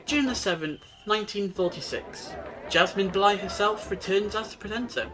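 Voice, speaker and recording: An audio drama time traveller, played by a man with a high voice. He is wise and earnest, explaining history like a storyteller with real enthusiasm. Music plays clearly underneath. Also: moderate pace, natural voice. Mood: reflective.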